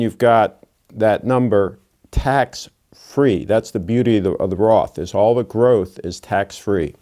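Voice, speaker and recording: A man's voice: lowish voice, dark tone. A man of sixty hosting his own channel, lecturing bluntly in a casual monologue with folksy asides. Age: 60s. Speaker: watch channel host.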